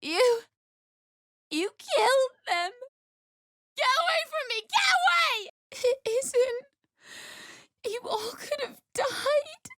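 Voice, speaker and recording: High-voiced distraught young widow. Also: female voice, animated, slow, long pauses, pushed and bright, no echo, full character voice. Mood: horrified, angry. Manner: emotional, pleading, blunt. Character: distraught young grieving widow, pregnant, desperate, bitter. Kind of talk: video game character dialogue.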